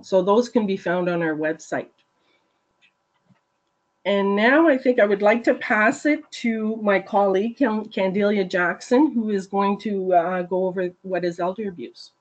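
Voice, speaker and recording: A woman working as a regional consultant, giving a steady, informative webinar presentation over a video call. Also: mid-range voice, measured pace, highs cut off.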